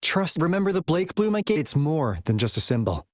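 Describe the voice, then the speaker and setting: A woman playing a cool, confident young female investigator in an anime game, brisk and analytical, with music behind her. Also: deep voice, very animated, no echo, clean studio sound. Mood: reflective, relieved.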